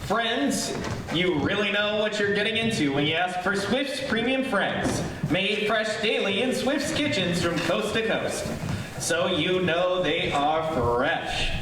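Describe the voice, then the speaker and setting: A man announcing in 1940s radio style, reading sponsor copy and credits. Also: high-pitched. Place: live student stage performance of a radio show. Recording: echoey, distant room sound with noise.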